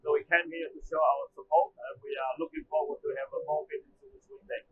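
A man's voice: on the high side, quick pace. A man, giving a testimonial at a trade show booth with hesitant, filler-heavy speech on a lapel mic. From Taiwan. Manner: hesitant, frequent "uh" fillers, non-native phrasing. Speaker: machinery company manager. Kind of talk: testimonial interview answers. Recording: phone-like narrow band, faint noise.

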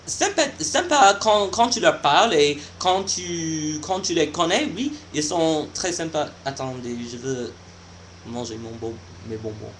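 Halting young man, slightly high voice. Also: expressive, fairly bright tone, a little hiss or hum. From United States. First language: not French. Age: young adult. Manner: halting, searching for words, shy. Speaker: vlogger. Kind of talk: casual vlog monologue.

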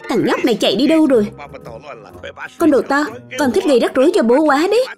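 A woman's voice: sits high, animated, fast talker, a little mellow. A woman voicing a strict but caring father in a dubbed children's cartoon. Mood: exasperated. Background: background music.

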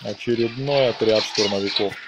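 A man's voice: fairly low pitch, moderate pace, heavy background noise. A man giving casual gameplay commentary, talking to viewers and dropping in short interjections. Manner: talks to viewers, exclaims in interjections. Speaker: gaming video narrator. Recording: music behind the voice. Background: game music.

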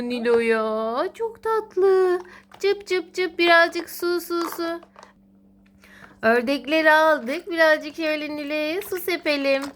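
High-voiced mother in playful child-directed talk. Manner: encouraging, sing-song child-directed talk with repeated praise. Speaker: mother playing with her young child. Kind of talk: playful educational play narration for a small child. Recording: clearly noisy home recording with clicks.

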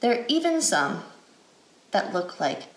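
An American woman reading a picture book aloud to children as an educator, phrasing deliberately in a guided read-aloud style. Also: medium pitch, sing-song. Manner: deliberate, clearly phrased, asks questions. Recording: roomy indoor echo.

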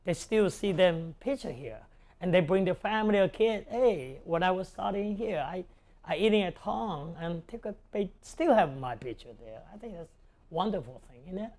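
A middle-aged restaurant owner from Hong Kong with a Cantonese accent, talking casually in halting English in an interview. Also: male voice, high voice, animated, darkish tone. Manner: halting, non-native English phrasing. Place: indoors in a restaurant booth. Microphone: lapel.

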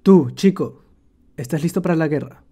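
Cartoon emperor, bossy and blunt, deep and dark, voiced by a woman. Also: moderate pace, slightly rough. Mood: commanding. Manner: blunt, commanding. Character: gruff bossy male Roman emperor.

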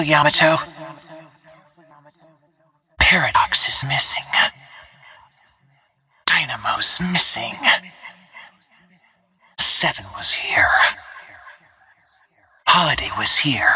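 A woman voicing a stealthy, focused female assassin in a shooter game, giving terse callouts in a hushed, husky, breathy voice. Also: very deep voice, no echo, exaggerated voice. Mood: hushed warning. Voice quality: breathy, husky, near-whispered. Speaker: video game character voice.

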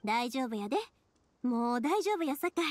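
A woman playing a caring, cheerful young big-sister figure with a Kansai accent, gentle and reassuring, in an anime game. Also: a little high, expressive, moderate pace, no room sound, lightly acted. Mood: gentle, soothing.

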